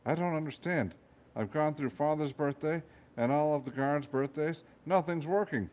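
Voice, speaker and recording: A man doing a quick-witted young girl. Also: a little high, a little mellow, muffled recording, voice pushed up, natural voice. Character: clever young girl, the boss's daughter.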